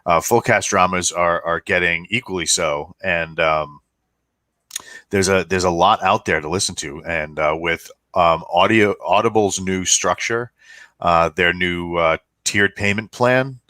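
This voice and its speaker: A male podcast co-host with an American accent and a very low but bright voice. He explains in casual, halting phrases, recorded close and dry with no room sound. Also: no room sound.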